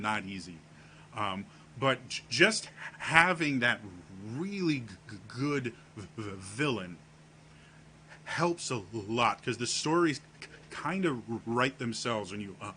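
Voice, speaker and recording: A man talking casually to camera on his vlog, with a deep voice and a heavy stutter that repeats the first sounds of words. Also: bright, forceful tone, slight background noise. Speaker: vlogger.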